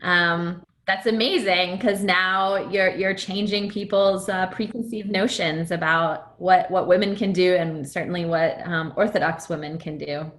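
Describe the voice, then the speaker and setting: A woman hosting a webinar over a video call, responding in a measured, affirming way. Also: lowish voice, steady tone, a bit bright. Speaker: webinar host. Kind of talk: interview response.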